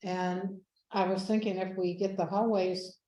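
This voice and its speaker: Mellow-voiced woman with Texas accent. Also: medium pitch, flat delivery, unhurried. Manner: friendly, rambling questions, laughs easily. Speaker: board member. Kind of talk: board meeting discussion. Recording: echoey meeting room.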